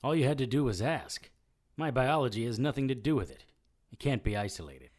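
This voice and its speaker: A sardonic, wisecracking detective played by a man for a noir audio drama. His delivery is animated and swings widely in pitch, with a dry, sarcastic edge to both narration and banter. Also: a little fast, natural voice. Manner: wry, sarcastic. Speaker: lead character and narrator.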